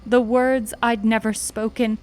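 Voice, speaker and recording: Slow-paced woman reading literary fiction. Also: on the high side, little pitch movement, clear, resonant tone. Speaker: short story narrator. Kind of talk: literary audiobook narration, intimate first person.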